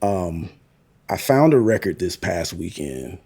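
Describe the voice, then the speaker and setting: A man's voice: low-pitched, sing-song, takes it slowly, vocal fry, dark tone, husky. A man with an American accent, co-hosting a podcast in casual conversation. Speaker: podcast co-host. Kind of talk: casual chat about music.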